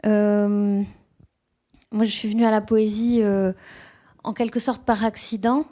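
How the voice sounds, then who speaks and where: A woman's voice: mid-range voice, little pitch movement, a little slow, mellow. Hesitant, thoughtful woman poet. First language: French. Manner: thoughtful, hesitant, many restarts. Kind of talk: bookshop talk. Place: bookshop event room.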